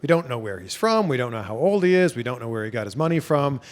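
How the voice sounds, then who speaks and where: A man's voice: mid-range voice, sing-song, fast talker. A man from Toronto hosting a casual interview, chatty and enthusiastic. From Toronto, Canada. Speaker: interview host.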